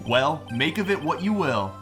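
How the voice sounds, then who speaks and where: A man's voice: fairly high pitch, very animated, normal speed, music in the background. A man voicing an earnest, awkward young male lawyer in a fan-dubbed game, hesitant and a little wry. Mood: persuading. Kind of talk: fan-dub video game dialogue and inner narration.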